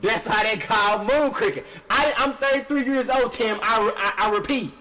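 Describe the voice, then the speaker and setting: A man's voice: very high-pitched, moderate pace, bright, forceful tone. A man in his thirties hosting a casual podcast chat, telling stories with emphatic repetition. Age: 30s. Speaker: podcast host.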